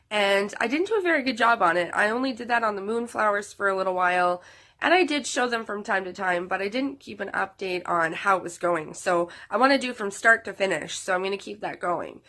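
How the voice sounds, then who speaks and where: Thirties woman, sick, nasal and bright, rambling casual vlog chat to viewers. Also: mid-range voice. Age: 30s. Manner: rambling, with many fillers and restarts. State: sick, losing her voice. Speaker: vlogger.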